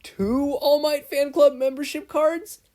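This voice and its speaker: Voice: sits high, fairly even intonation, measured pace, darkish tone, voice pushed up. A performer voicing a nervous but eager young teenage boy inventor in an audiobook-style story reading. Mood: eager, excited.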